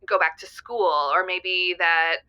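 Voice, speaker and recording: A woman's voice: fairly low pitch, fairly even intonation, takes it slowly, pushed and bright, clear, resonant tone. An actress playing a gushing, earnest, self-doubting woman phoning a radio show, rambling casually through a phone filter. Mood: earnest, puzzled. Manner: rambling, giggly, peppered with "like". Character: gushing, earnest, self-doubting woman caller who thinks she's psychic. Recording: thin, band-limited phone caller sound.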